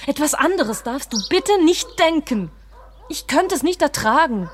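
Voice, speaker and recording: A woman's voice: high-pitched, expressive, a little slow. An actress playing a devout, grieving woman in a radio play, earnest, insistent and emotional. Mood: pleading, grieving. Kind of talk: dramatic radio play acting.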